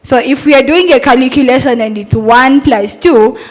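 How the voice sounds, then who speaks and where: A woman's voice: high voice, moderate pace. A young adult woman, a Montessori teacher, giving an earnest, persuasive talk at a summit and asking rhetorical questions.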